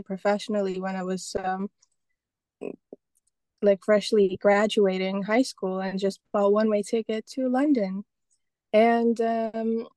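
A young woman from Estonia talks about her work in a relaxed, rambling way, full of fillers and restarts. Her voice is warm and mellow, and it sounds close and dry, with no room sound. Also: medium pitch, no echo. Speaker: guest, painter and photographer. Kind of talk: casual interview talk.

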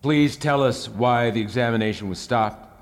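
A man's voice: mid-range voice, moderate pace, close to an everyday voice. A man playing a smug, probing senator in an audio drama, formal, pointed and elaborately polite. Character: smug, probing male senator questioning a witness. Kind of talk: fiction podcast audio drama. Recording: echoey hall with long reverb.

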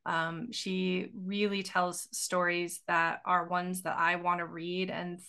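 A woman hosting a travel podcast, soft-spoken and earnest, reading an intro and then asking questions with a few ums. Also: fairly low pitch, flat delivery, slow, clean tone. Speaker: podcast host. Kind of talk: podcast interview.

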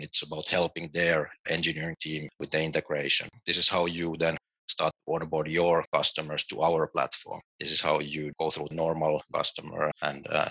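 A middle-aged man speaks in an extremely low, gravelly and flat voice, yet with a sharp, bright edge. He explains things steadily, with small restarts. The sound is dry and a bit dull, like lo-fi remote audio. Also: no room sound. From Finland. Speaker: guest, tech executive. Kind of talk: podcast interview answers.

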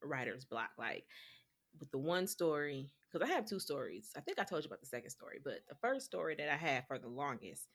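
A woman co-host chats casually on a podcast. Her voice sits low but sounds bright, with a lively, swinging pitch. She rambles, fills pauses with "like," and often restarts her sentences. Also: breathy edge. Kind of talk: casual chat.

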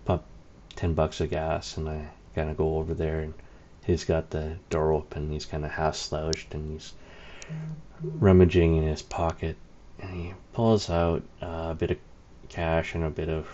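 Man, very low dark voice, rambling anecdotal storyteller, dull lo-fi sound. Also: flat delivery, a little slow, slight background noise. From Canada. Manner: rambling, many fillers, wry laughs. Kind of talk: casual anecdote telling. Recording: dull lo-fi recording.

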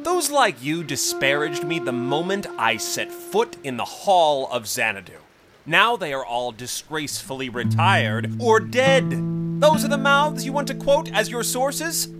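Pompous, aggrieved court financier over loud music. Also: male voice, fairly high pitch, very animated, unhurried. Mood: indignant. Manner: pompous, self-justifying, formal diction. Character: proud, aggrieved male court financier speaking from the dead. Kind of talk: theatrical audio drama monologue. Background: plucked guitar music.

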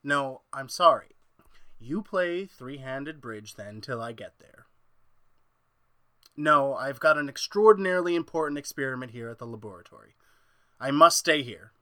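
A man's voice: mid-range voice, animated, normal speed, sharp tone, no echo. A man playing an obsessed, domineering mad scientist in an old-time radio horror play, with a theatrical, gloating manner. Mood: placating.

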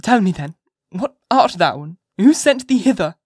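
A narrator voicing a troubled, earnest young mother in an audiobook, pleading with slow, solemn emphasis. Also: medium pitch, very animated, a little mellow, dry recording, clean studio sound, pitched above the performer's own voice. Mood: urgent, earnest. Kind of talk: dramatized audiobook character voice, archaic speech.

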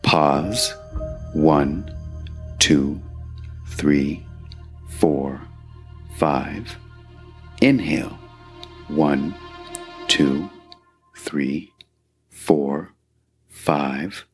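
A man guiding a meditation in a calm, measured way, leaving long pauses between phrases. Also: rumbling low. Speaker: meditation guide. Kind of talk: guided meditation narration. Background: background music.

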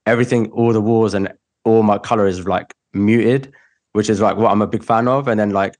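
A man's voice: fairly low pitch, fairly even intonation, fairly quick, darkish tone. A young man hosting a podcast, chatting casually and rambling with lots of "like". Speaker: podcast host, wedding photographer.

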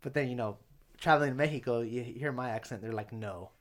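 A relaxed young man chatting on a podcast. He rambles and restarts often, with a middle-range voice that is neither high nor low. His delivery is loose and conversational. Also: fast talker. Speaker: podcast guest. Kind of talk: casual podcast chat.

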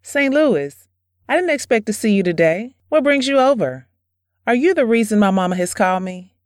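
A woman's voice: a little high, expressive, moderate pace, lightly acted. A woman playing an affectionate young woman in love in an audio drama. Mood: surprised.

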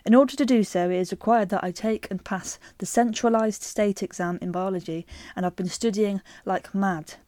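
A woman co-hosting a chatty podcast, lively and quick to laugh. Her voice is mid-pitched and sits close and dry, with no room sound behind it. She moves easily between banter and reading a listener's letter aloud. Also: no echo. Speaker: podcast co-host. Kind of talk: casual chat and reading a listener email.

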